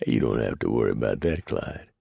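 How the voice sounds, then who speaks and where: A man voicing a calm, calculating young male fur trapper. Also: very deep voice, brisk, vocal fry, slightly rough, no room sound, heavily acted. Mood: steady.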